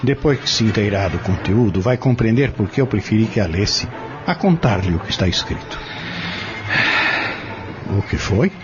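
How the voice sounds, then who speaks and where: Man acting an assured travelling salesman, lively intonation. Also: on the low side, normal speed, long pauses, music in the background, lightly acted. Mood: assured, probing. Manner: assured, probing, formal. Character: self-assured male travelling salesman, brisk, courteous, insistent. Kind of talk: audio soap opera acting. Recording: dull lo-fi audio soap recording.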